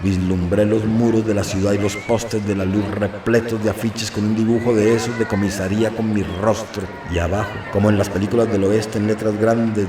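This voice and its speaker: A Colombian man with a gravelly voice giving scripted, first-person dramatic narration for an audio novel. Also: lowish voice. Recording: roomy, slightly distant, faint noise.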